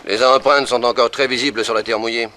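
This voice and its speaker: A man acting an authoritative, slightly slow-witted police officer with an official, theatrical manner in 1960s French radio drama. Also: mid-range voice, fairly even intonation, sharp tone, a little hiss or hum, lightly acted. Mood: commanding.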